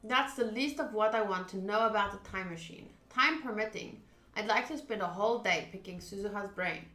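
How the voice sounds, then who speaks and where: Woman, bright voice, visual novel read-aloud, casual streamer, echoey room, faint music. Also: mid-range voice, fairly quick. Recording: roomy, echoing voice track. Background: game music.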